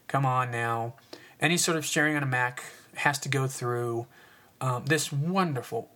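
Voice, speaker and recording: A man's voice: mid-range voice, a bit bright. A man hosting a casual solo podcast, rambling with lots of fillers and sarcastic asides, sniffling as he talks. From United States. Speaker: podcast host.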